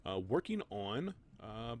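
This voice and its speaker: A man's voice: fairly low pitch, sing-song, slow pace. A man hosting casual gameplay commentary, sounding nasal from a head cold, chatty and rambling as he corrects himself. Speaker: gaming channel host. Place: home room with doors open.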